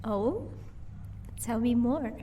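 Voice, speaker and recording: Playful female vampire spouse, voiced by a woman, audio roleplay: higher pitch, dark mellow tone, gentle teasing manner. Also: very animated, drawn-out words, distant mic, a little hiss or hum, heavily acted. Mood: pleased, affectionate. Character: sweet, playful female vampire, doting wife.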